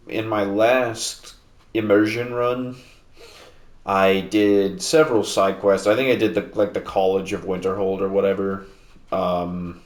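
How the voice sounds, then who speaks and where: A man casually commenting on a game he is playing, rambling with lots of fillers, speaking a little louder than usual because he sits away from the mic in a house. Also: low-pitched, echoey room. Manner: rambling, relaxed, many fillers and restarts; talking louder than normal to make up for mic distance. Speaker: gameplay video host. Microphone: sitting at a distance from the mic.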